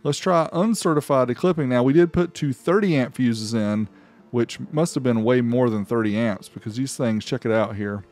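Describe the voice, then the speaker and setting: A man's voice: medium pitch, moderate pace, a little mellow. A man giving a casual, informal product review voice-over as the host, with a folksy way of talking. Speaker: host reviewing car audio amplifiers.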